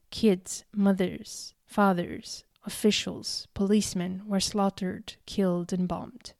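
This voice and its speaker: Woman in her thirties, from Algeria, earnest storytelling talk, mellow tone, dry close sound. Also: mid-range voice, no echo. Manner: earnest, deliberate, with emphatic repetitions. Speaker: speaker giving a talk. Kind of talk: prepared personal storytelling speech.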